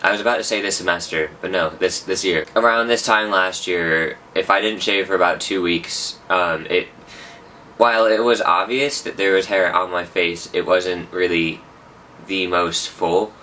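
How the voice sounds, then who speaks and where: Young man, low bright voice, chatty rambling vlog, slightly distant mic. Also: steady tone, moderate pace, a little hiss or hum. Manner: rambling, self-correcting, chatty. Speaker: vlogger. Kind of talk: casual personal update.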